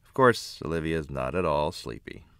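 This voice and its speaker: A man reading a children's picture book aloud with expressive, emphatic phrasing. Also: very deep voice, moderate pace, clean tone, no echo. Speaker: children's book reader, narrator. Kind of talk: read-aloud storybook narration.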